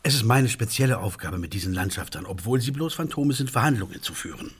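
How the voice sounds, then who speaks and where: A radio-play railway engineer with a very rough, husky voice. He speaks in formal, courteous officialese, earnest and long-winded, like a born negotiator. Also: on the low side, lively intonation, fast talker.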